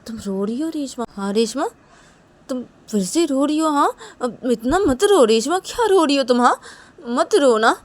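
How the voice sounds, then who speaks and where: A woman's voice: high voice, animated, moderate pace, voice pushed up. A woman voicing a stern, guilt-ridden young woman with a short temper, repeating terse warnings in an improvised dramatic story. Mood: concerned. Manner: terse, insistent, repetitive warnings.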